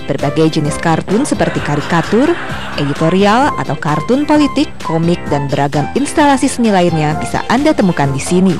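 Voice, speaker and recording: Lively female news reporter. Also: fairly low pitch, fairly quick. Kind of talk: scripted news voice-over. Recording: music bed under voice.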